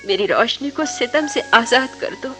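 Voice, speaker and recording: A woman's voice: fairly high pitch, expressive, moderate pace, over music, natural voice. A woman acting a defiant, lovelorn young woman in a radio drama, with an intense, poetic delivery. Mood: anguished, pleading. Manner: poetic, emotionally heightened delivery.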